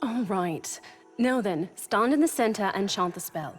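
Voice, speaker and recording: A woman's voice: mid-range voice, expressive, unhurried, soft background music, lightly acted. A woman voicing a determined female spellcaster in a fantasy game, firm and commanding. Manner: firm, instructing.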